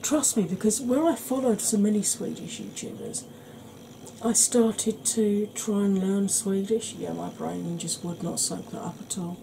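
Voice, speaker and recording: Brief: middle-aged woman, Welsh-Yorkshire accent, unhurried soft chat, home recording with steady background hiss. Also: mid-range voice, vocal fry, low-quality recording. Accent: Welsh and Yorkshire mix. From England, raised by Welsh family. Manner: deliberately slow, gentle, chatty. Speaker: beauty YouTuber. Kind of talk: slow soft-spoken makeup tutorial chat.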